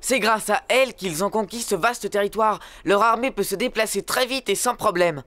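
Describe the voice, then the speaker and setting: A performer playing a sensible, explanatory travelling companion in a French animated series dub. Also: medium pitch, moderate pace, faint music behind, lightly acted. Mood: instructive.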